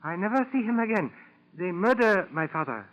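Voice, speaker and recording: An actor playing a boastful little Japanese spy in a 1940s radio drama, with an exaggerated accent and clipped broken English. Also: male voice, sits high, big pitch swings, moderate pace, fairly bright tone. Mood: ingratiating, scheming. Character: boastful, fanatical little Japanese spy posing as eager informant. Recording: dull lo-fi 1940s radio recording.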